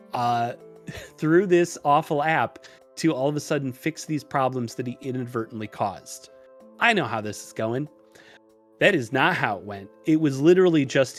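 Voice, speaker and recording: A man talking casually as a podcast guest, telling stories in an animated, self-deprecating way with exasperated asides. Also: medium pitch. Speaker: podcast guest, illustrator and programmer.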